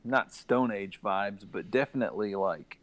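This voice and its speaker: A man's voice: fairly low pitch, unhurried, a loud crowd. A man co-hosting a casual gaming discussion, sharing opinions as he thinks them through aloud. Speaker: gaming podcast co-host.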